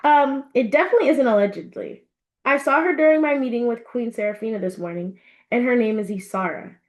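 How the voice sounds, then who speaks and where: A woman's voice: a little high, a little fast, lightly acted. A woman voicing an earnest, teasing young male best friend in a storybook, chatty and insistent. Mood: teasing, defensive.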